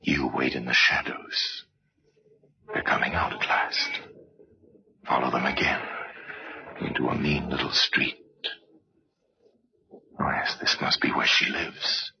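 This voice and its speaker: Sinister storyteller, rock-bottom pitch, deliberate and knowing, old-time radio drama, muffled vintage recording. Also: male voice, level tone, vocal fry, pushed and bright, heavily acted. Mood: hushed, goading. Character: ominous, knowing male narrator of true crime tales. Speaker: narrator.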